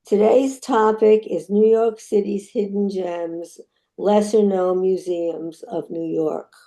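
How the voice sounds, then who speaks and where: An older woman chairing a retirees' meeting, introducing speakers slowly with many fillers over a video call. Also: medium pitch, fairly even intonation, dark tone. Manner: slow, halting, with many fillers. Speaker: chapter chairperson.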